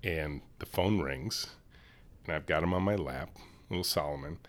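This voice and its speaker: Deep voiced guy, ceo telling stories on a podcast, does the voices of people in them. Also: normal speed. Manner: animated storyteller, acts out dialogue in higher voices. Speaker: CEO and co-founder, interview guest. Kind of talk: storytelling in a podcast interview.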